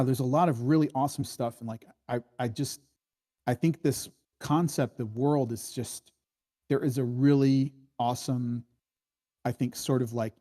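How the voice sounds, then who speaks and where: A man's voice: medium pitch, warm tone. A man giving casual spoken feedback on a screenplay, rambling and hedging, with many false starts as he thinks aloud. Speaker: screenwriting workshop critic giving script notes.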